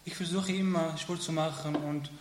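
A man whose first language is Arabic, speaking in halting sentences full of restarts and fillers. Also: fairly high pitch, fairly even intonation. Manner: halting learner's speech, many restarts. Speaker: interviewee, footballer. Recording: echoey room, faint noise.

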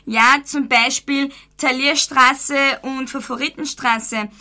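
A teenage schoolgirl hosts a scripted chat on a student radio show and keeps the questions coming. Her voice is high and bright. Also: steady tone, slow pace. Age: teens. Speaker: student presenter.

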